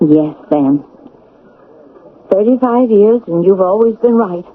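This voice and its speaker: Chatty, nosy older wife, very animated. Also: female voice, mid-range voice, slow, phone-quality sound. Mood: meek, resigned. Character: nosy, wistful older wife who gives in meekly. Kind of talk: radio drama acting. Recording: thin, noisy vintage radio recording.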